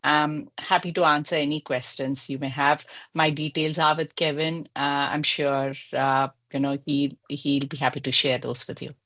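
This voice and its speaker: A woman speaker at a workshop giving encouraging, measured advice with some fillers. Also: sits low, level tone, a bit bright.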